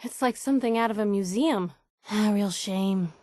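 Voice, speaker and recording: An actress playing a nosy, wisecracking young woman newspaper reporter in a video game, with a sardonic, brisk, conversational delivery. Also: mid-range voice, a little mellow, no echo, studio quality, close to an everyday voice. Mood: wry, curious. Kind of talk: game character dialogue, scripted barks and questions.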